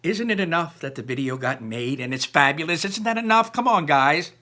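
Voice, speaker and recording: Man reading as a firm, bright-voiced schoolteacher. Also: a little high, expressive, fairly quick, voice pushed up. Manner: firm, authoritative. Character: firm, fair-minded male schoolteacher settling a quarrel.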